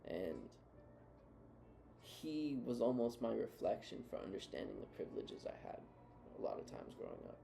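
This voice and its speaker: A man's voice: medium pitch, huge pitch swings, normal speed, creaky, mellow, a little hiss or hum. A man co-hosting a casual talk, reflecting earnestly and giving advice. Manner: earnest, advising. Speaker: co-host.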